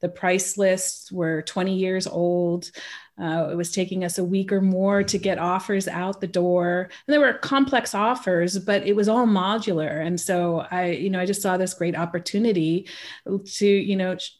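A woman's voice: on the low side, steady tone, normal speed. A woman from California with an American accent, a guest in a casual interview, talking about pricing in a chatty way and often breaking into laughter.

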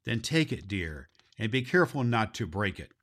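A man voicing a kindly, jolly toymaker in a storybook, speaking gently and affectionately. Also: fairly low pitch, big pitch swings, moderate pace.

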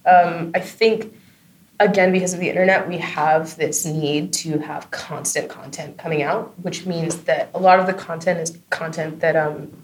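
Woman, low pitch, casual interview guest, chatty and informal, some background noise. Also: steady tone, normal speed. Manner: chatty, informal, frequent "like" and tag "right?". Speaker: interview guest, audio drama creator and comedy performer. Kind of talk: casual spoken interview answers. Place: on location at a film festival.

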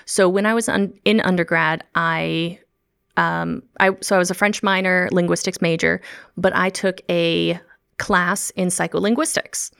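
A middle-aged woman talks casually in an interview. Her voice sits on the low side with a gravelly, fry-tinged edge. She laughs often and trips into restarts and ums as she explains her work. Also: steady tone, a little slow, a bit bright. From Midwest United States. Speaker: co-host, language researcher.

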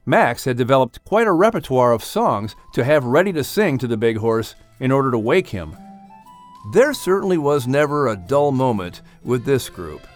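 A man narrating a children's audiobook in an expressive scripted storytelling style. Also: medium pitch, moderate pace, faint music behind.

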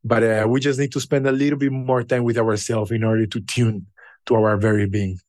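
A man who learned English later in life, a podcast guest telling his story in an unhurried, reflective way with pauses. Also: mid-range voice, flat delivery, darkish tone, no echo, clean studio sound. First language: not English. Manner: unhurried and thoughtful, with pauses and fillers.

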